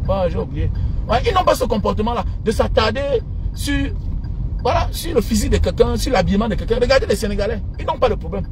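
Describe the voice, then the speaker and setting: A man in his thirties with a Cameroonian French accent, ranting informally to his followers in an animated, indignant, mocking way from inside a car. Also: high voice. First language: an African mother tongue. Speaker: social media commentator. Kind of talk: impassioned informal rant to followers. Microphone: phone at arm's length. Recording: noisy car interior with road traffic.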